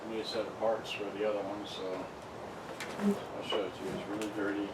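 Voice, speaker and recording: A man's voice: lowish voice, little pitch movement, a little fast. A man giving a casual, unscripted unboxing demonstration, rambling and muttering to himself as he works. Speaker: vlogger showing vintage electronics. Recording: roomy, distant mic, loud background noise.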